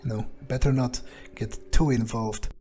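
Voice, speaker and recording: A man's voice: mid-range voice, steady tone, moderate pace, mellow, soft background music. A man role-playing his character in a video game playthrough, thinking aloud in a musing, hesitant, sardonic way. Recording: faint background game ambience.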